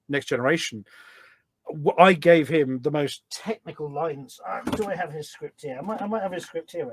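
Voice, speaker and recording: A man chatting casually as an interview guest, telling anecdotes in an enthusiastic, talkative way. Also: on the high side. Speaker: podcast guest, voice pack producer.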